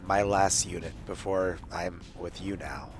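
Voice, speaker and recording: A man's voice: sits low, unhurried. A man playing a tabletop roleplaying game, speaking in character as part of a squad. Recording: music playing underneath.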